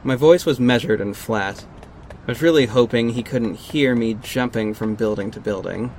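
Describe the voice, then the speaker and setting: Male lead for superhero audio drama: actor plays guarded, sardonic vigilante, mid-pitched, terse, over noisy ambience. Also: a little mellow, lightly acted. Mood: wry, brooding. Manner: measured, terse, exasperated. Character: guarded, sardonic costumed vigilante, heartbroken and stubborn. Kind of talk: first-person audio drama narration and dialogue.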